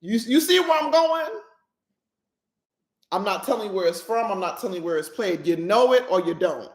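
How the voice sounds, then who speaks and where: A woman's voice: medium pitch, expressive, normal speed. Emphatic woman podcaster in a roomy space. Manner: emphatic, keeps checking in with listeners; playful, laughs at her own jokes. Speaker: podcast host. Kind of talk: casual solo podcast monologue.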